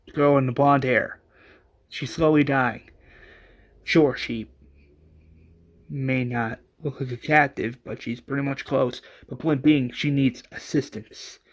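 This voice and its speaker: A man voicing a resolute, secretive woman fighter in a story, with a quiet and measured delivery. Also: medium pitch, steady tone, lightly acted. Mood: calm, assured.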